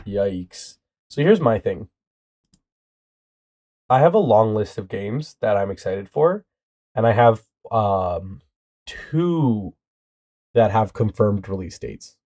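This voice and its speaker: A man's voice: on the low side, slow pace, pauses often. A young man with a stuffy nose from a cold, hosting a casual podcast chat with friends and joking around. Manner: joking, rambling, animated. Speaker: podcast host.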